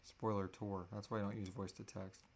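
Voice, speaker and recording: A man's voice: lowish voice, flat delivery, normal speed. A man in his thirties hosting a casual live Q&A in an informal, easygoing and sweary way. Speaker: podcast host. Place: small indoor room at home.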